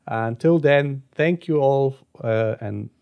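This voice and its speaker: A man's voice: mid-range voice, slow pace, creaky, smooth, dull audio. A man hosting a podcast, wrapping up the episode in a casual, hesitant way with many filler sounds. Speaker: podcast host. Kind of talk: casual outro with channel plugs.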